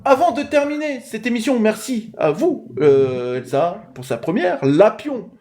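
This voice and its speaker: A man's voice: sits high, animated, a little slow, very noisy. A man hosting a casual live stream, chatting, thanking viewers and running a quiz. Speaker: live show host.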